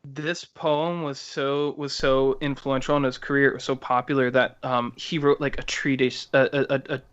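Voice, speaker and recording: Male podcast co-host, mid-pitched, informal and halting, discussing books casually on dull-sounding audio. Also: flat delivery, a bit bright, smooth. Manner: informal, halting delivery with many "like"s. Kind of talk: casual discussion of art and literature.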